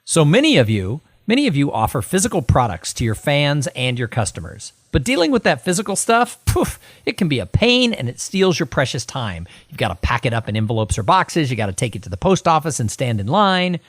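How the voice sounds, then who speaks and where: A man's voice: fairly high pitch, very animated, fairly quick, few pauses, no room sound. Middle-aged male podcast host, enthusiastic and chatty, close dry mic. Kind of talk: podcast interview with sponsor read.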